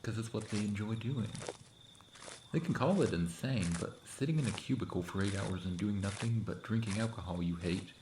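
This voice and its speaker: Low-voiced playful forest monster acted by a man, echoey. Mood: sheepish, earnest. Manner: casual, teasing, boastful, chuckling delivery. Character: playful prankster forest cryptid, friendly, mischievous, clawed monster. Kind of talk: ASMR roleplay, conversational monologue to listener. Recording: roomy echo, clear background noise.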